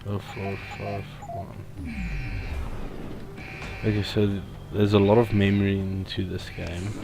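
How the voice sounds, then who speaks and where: A man commentates casually on a video game. His voice is on the low side and very slow, hesitating and trailing off. Game music is clearly heard behind him. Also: little pitch movement. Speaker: Let's Play gamer.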